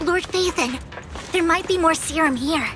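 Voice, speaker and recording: A woman's voice: very high voice, a little slow. A woman voicing a devoted, haughty young lady in an anime-style game, formal and dramatic. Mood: devoted, poised. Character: devoted, haughty young gothic lady who foresees misfortune.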